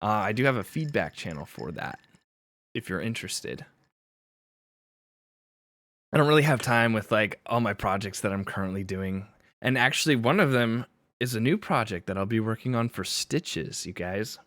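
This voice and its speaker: A man streaming live as a music producer, chatting casually and thinking aloud in halting bits with fillers while he works on a mix. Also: on the low side, expressive, a bit bright, no echo.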